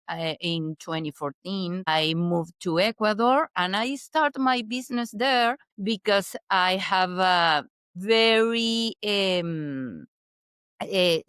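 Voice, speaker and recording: Slow-speaking woman. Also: medium pitch, fairly bright tone, clear, resonant tone, no room sound, clean studio sound. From Chile. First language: Spanish. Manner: careful, halting English with pauses and restarts. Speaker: guest, business consultant. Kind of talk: casual interview chat.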